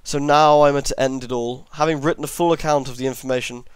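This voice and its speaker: A man's voice: medium pitch, steady tone, fast, clean tone, dry recording. A man narrating a horror story as an amateur reader, now and then stumbling over long words and correcting himself.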